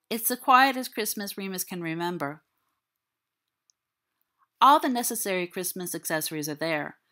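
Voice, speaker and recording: Female audiobook narrator, low-pitched but bright and forward, reading scripted literary prose. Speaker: audiobook reader.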